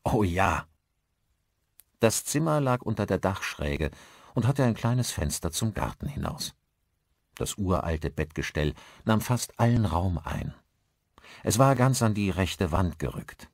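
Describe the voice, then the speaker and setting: Male narrator, low register, dry close studio sound, measured and articulate thriller reading. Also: lively intonation, vocal fry, dry recording. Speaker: audiobook narrator. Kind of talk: scripted audiobook narration of a thriller.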